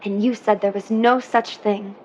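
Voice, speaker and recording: A woman's voice: mid-range voice, fairly even intonation, moderate pace, a little mellow, dull audio, a little hiss or hum, close to an everyday voice. An actress playing an earnest, desperate young woman who insists she is a mermaid, in an audio drama. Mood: earnest pleading.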